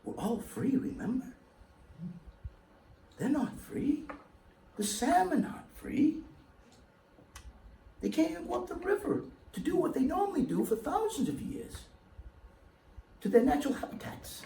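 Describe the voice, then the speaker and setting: A man's voice: extremely high pitch, very animated, unhurried, vocal fry, long pauses, warm tone. A man giving an impassioned, emphatic public talk to an audience, his voice sweeping up on key words. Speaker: guest speaker. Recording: echoey hall, mic far off, faint noise.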